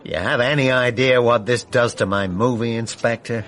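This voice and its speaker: An actor playing a brash, anxious male movie-maker in a radio drama, talking bluntly and excitably. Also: mid-range voice, normal speed, lightly acted. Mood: exasperated. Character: brash, anxious male film producer-director.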